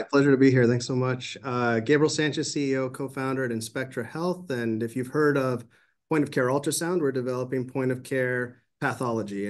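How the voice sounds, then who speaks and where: A man in his forties, a startup CEO introducing himself on a webinar panel, friendly and brisk. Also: mid-range voice.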